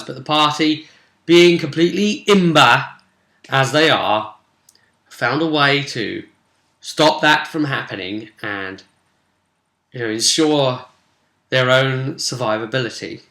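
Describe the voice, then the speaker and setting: A man's voice: mid-range voice, pushed and bright. A man giving a casual, rambling and enthusiastic recap as a tabletop game master. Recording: roomy echo.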